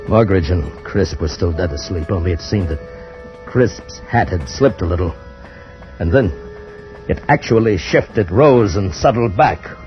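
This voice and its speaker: A haunted adventurer in an old radio play, with a low, tense voice. He tells his ordeal in hushed dread or urgent pleas, over prominent music on a dull, muffled recording. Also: expressive, unhurried. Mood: haunted, tense narration. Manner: tense, hushed narration breaking into desperate cries. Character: Johnny, haunted male adventurer narrating a desert ordeal. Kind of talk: 1950s old time radio drama acting, first-person narration and dialogue.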